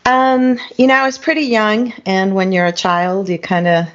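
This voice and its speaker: A middle-aged woman from Spain whose first language is French, a singer-songwriter reflecting in a relaxed interview chat with frequent ums and you-knows. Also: medium pitch, moderate pace. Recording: dull lo-fi recording.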